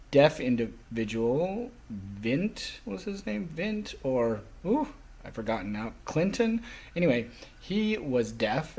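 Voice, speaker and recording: Husky man giving a class lecture. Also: medium pitch, darkish tone.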